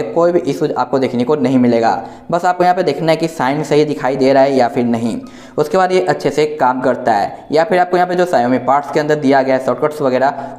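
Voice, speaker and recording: Indian guy doing a tech review, slightly high voice, explains step by step, roomy echo. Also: a little mellow. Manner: explanatory, addresses viewers directly. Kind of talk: informal smartphone software walkthrough. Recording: echoey, roomy sound.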